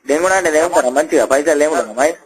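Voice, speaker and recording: A man with a Telangana Telugu accent argues down a phone line as a disgruntled customer. His voice sits a little high and the words come quickly. He repeats his complaint insistently and peppers it with coarse language. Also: over a noisy crowd. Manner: argumentative, repetitive, foul-mouthed. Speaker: customer complaining on a helpline call. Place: telephone call. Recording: phone call recording.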